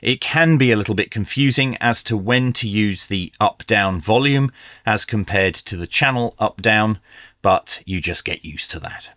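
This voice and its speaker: A middle-aged man narrates a hands-on product review in a fairly low voice. He explains things step by step in a methodical, instructional way. The sound is dry, with very little room to it. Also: moderate pace, fairly bright tone, no room sound. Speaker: radio reviewer, presenter.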